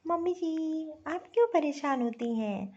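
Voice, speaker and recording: Spoiled, scheming young bahu for family cartoon female actor; extremely high voice, whiny and bossy, dull recording. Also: animated, unhurried, a little mellow. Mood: whiny pleading. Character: spoiled, scheming young city daughter-in-law. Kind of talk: Hindi family-drama cartoon voice acting.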